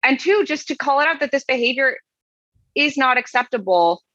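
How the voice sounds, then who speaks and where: Wry, bright-voiced woman podcast host. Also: high-pitched, lively intonation, normal speed, dry recording, dull audio. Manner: wry, dry reading with asides. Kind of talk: casual podcast chat, reading comments aloud.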